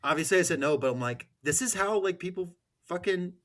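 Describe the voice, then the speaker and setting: A man's voice: high-pitched, animated, fairly quick, a bit bright. A man hosting a podcast in casual chat, with an irreverent, wry manner. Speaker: podcast host.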